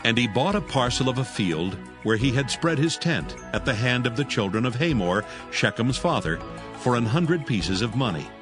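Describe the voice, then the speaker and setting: A solemn male narrator reading scripture at a measured pace. A strong music bed sits under his voice. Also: mid-range voice, very animated.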